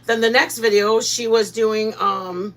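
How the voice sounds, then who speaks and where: A woman hosting a casual live cooking stream from her home kitchen, excitable, rambling and quick to giggle. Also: a little high, low-quality recording.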